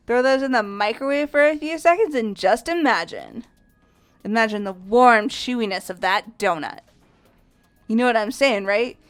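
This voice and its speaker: High, clear, exuberant teenage girl played by a woman. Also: lightly acted. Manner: exuberant, gushing, emphatic. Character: energetic, athletic, donut-obsessed teenage girl. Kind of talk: fan-voiced visual novel dialogue.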